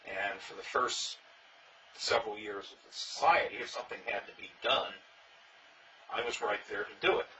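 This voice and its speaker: An older man, interviewed about his early days in a reenactment society, telling stories with dry, plainspoken humor and a loud voice. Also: fairly low pitch, normal speed, far from the mic. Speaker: interviewee, former king of a historical reenactment society. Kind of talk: casual storytelling interview. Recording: dull sound, faint noise, from off mic.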